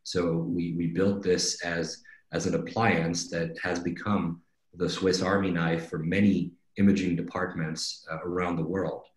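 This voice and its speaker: A man's voice: low-pitched, flat delivery, a little slow. A middle-aged man presenting conversationally on a webinar, explaining things and often checking in with "right?". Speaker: company executive presenting a webinar. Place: indoors on a video call. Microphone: video call microphone. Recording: roomy, echoing video-call audio.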